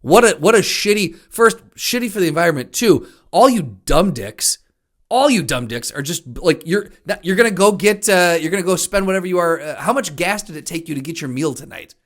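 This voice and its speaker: A man's voice: high-pitched, expressive, a little fast. An American man co-hosting a podcast, chatting casually with emphatic, exasperated, profane comic complaints. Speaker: podcast co-host. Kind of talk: casual comedic chat.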